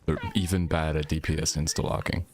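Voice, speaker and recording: A man streaming a game, talking in a slow, soft ASMR manner while teasing and trash-talking his teammates with blunt swearing. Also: very deep voice, flat delivery. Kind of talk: casual gameplay commentary. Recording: game music playing behind voice. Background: game music and sound effects.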